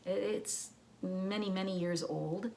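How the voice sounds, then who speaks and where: A woman's voice: mid-range voice, fairly even intonation, measured pace, vocal fry, warm tone, slight background noise. A woman giving an educational talk to children in a teacherly way, with simple explanations and rhetorical questions. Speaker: children's librarian and storyteller.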